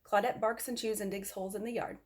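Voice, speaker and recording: A woman's voice: mid-range voice, steady tone, fast talker, lightly acted. Fretful, kind storybook shelter keeper, female, voiced by a woman narrator. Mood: matter-of-fact.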